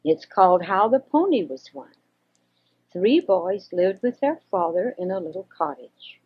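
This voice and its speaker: An older woman reads a children's story expressively, with a husky voice, on a homemade cassette. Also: lowish voice, sing-song, a little slow. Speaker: storyteller reading for children and grandchildren. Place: home recording. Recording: dull homemade 1980s cassette recording.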